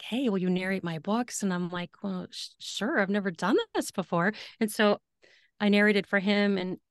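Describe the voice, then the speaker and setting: A woman's voice: mid-range voice, dry recording, clean studio sound. Laughing, chatty woman narrator. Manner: laughs often, halting restarts. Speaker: guest, audiobook narrator and writer. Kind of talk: casual interview conversation.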